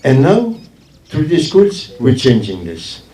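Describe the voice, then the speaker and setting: Male guest speaker, unhurried, emphatic, mellow tone, echoey distant recording. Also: medium pitch, huge pitch swings. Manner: emphatic, repeats phrases for effect. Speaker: guest speaker from a forestry organisation. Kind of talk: informal public speech at a ceremony. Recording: echoey speech heard from far off.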